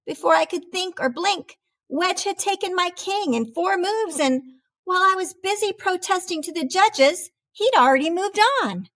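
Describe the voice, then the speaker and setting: A woman voicing a proud, dramatic wheel of cheddar in an expressive children's storybook read-aloud. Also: very high-pitched, pitched above the performer's own voice, heavily acted. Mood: dramatic recounting. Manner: theatrical, expressive, deliberate delivery.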